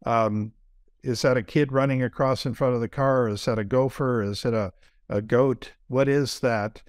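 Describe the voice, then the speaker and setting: A man's voice: medium pitch, steady tone, normal speed, clean tone, no room sound, studio quality. A man co-hosting a podcast, talking casually and rambling with many fillers.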